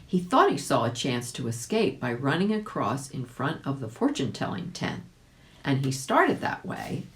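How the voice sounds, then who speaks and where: A woman reading a children's story aloud in an expressive, animated way, addressing young listeners directly. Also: a bass voice, normal speed. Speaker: volunteer audiobook reader.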